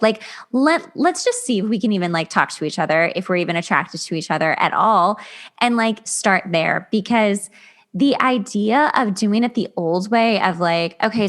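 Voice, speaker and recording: A woman hosting a podcast in a casual, expressive way, with very informal phrasing. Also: mid-range voice. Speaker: podcast host, interviewer. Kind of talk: casual chat.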